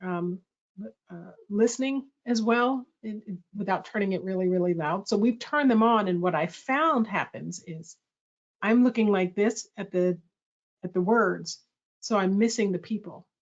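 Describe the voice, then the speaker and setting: A woman's voice: mid-range voice, moderate pace. A middle-aged woman hosting a workshop, speaking in a thoughtful, reflective way and correcting herself as she goes. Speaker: workshop host. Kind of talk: presentation dialogue. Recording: slightly muffled audio.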